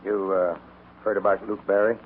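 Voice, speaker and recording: An actor playing a self-justifying small-town doctor in a 1950s radio western, halting and matter-of-fact. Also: male voice, lowish voice, flat delivery, natural voice. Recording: tinny, noisy old radio transcription.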